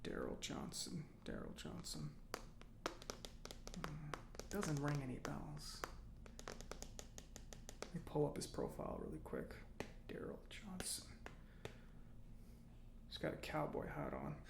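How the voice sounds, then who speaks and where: A man playing an eccentric, kindly mechanic in a soft-spoken ASMR roleplay, with halting, reassuring murmurs and a breathy voice. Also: a little high, huge pitch swings, roomy sound, noisy background, full character voice. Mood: musing, approving. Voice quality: breathy whispering, creaky. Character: eccentric, giddy, kindly male government robot mechanic.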